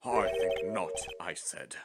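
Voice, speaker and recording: A man voicing a pompous, blustering older lawyer in a video game fan dub, theatrical and evasive, with hums and throat-clearing. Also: sits low, slow pace. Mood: grave, regretful.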